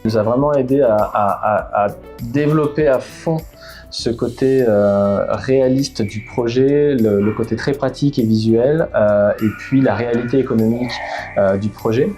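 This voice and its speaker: Hesitant French man, mellow voice. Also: medium pitch, moderate pace, soft background music. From Bordeaux, France. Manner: hesitant, many fillers and restarts. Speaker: startup founder. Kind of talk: interview answers.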